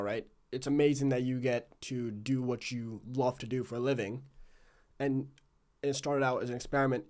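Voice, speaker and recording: A young man of about twenty with a mid-pitched voice hosts a casual interview. He poses his questions conversationally. His phrasing is hesitant and searching as he works toward each point. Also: a little mellow. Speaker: podcast host, interviewer.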